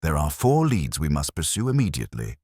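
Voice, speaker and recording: A man voicing a coolly analytical, commanding Victorian detective in an audiobook, speaking with precise, deliberate phrasing. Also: a bass voice, wildly swooping pitch, mellow, breathy edge, no echo, studio quality, exaggerated voice. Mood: incisive.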